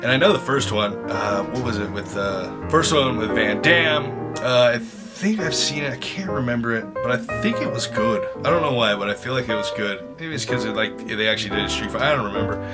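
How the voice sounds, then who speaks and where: A man's voice: medium pitch, a little fast, few pauses. A man in his twenties giving casual, opinionated reaction commentary in informal, slangy speech. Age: 20s. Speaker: host of a video reaction show. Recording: music behind the voice.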